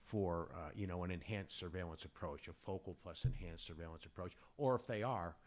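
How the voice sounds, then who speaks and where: Man, very low voice, medical panelist, thinking aloud, repeats words. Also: a little fast. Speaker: urologist panelist. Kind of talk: panel discussion.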